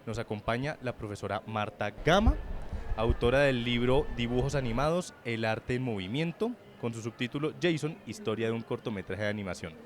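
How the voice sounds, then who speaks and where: A man speaking politely and with measured phrasing as he hosts a semi-formal live interview. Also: mid-range voice. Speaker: publishing house director hosting a book launch interview. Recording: busy book fair crowd behind. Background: crowd chatter at a book fair.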